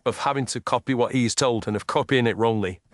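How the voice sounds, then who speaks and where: A man voicing a coolly commanding gentleman detective in an audio story, formal, clipped and incisive. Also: medium pitch, fast, dry recording, lightly acted. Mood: cool, careful.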